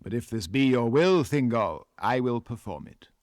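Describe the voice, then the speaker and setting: A man's voice: mid-range voice, lively intonation, normal speed, darkish tone, dry recording, clean studio sound, natural voice. A man with an English accent voicing a proud, defiant mortal warrior in an audiobook, bold and declamatory. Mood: bold scorn.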